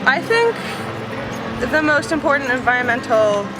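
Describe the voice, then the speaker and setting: A woman's voice: sits high, very animated, moderate pace. A young woman giving hesitant answers in a street interview, often starting with "um". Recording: loud music and crowd behind.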